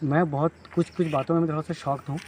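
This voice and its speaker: A man from Uttarakhand speaks earnestly in a high voice, often restarting his sentences. He is recorded outdoors by a road with faint noise behind him. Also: fairly even intonation, quick pace, a little mellow. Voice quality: earnest, explanatory, many restarts. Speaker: pilgrim on a walking journey. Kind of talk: roadside interview.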